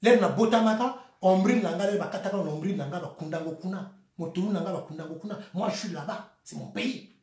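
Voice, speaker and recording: A man from the Republic of the Congo with a Congolese French accent, giving an impassioned political monologue, swinging between shouts and quiet bitter asides. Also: high-pitched, fairly quick, reverberant. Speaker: activist vlogger.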